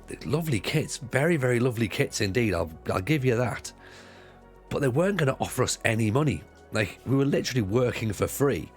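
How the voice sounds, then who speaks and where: A British man with a croaky voice, chatting casually to viewers on a live stream while playing a football management game, rambling and repeating himself. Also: on the low side. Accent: British English.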